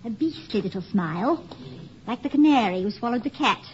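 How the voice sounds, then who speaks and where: A teasing, arch wife played by an actress in an old radio comedy. Her voice is fairly high and lilting, with dry wit. The vintage recording hisses noticeably. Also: lively intonation, brisk, natural voice. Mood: amused, mocking. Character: playful, witty, teasing wife of college president. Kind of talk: 1950s radio comedy acting. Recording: muffled, hissy vintage 1950 radio recording.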